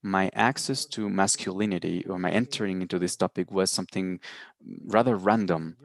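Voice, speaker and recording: A man's voice: deep voice, normal speed, gravelly. A man speaking as a panelist over a video call, telling his story in an informal way with some hesitations.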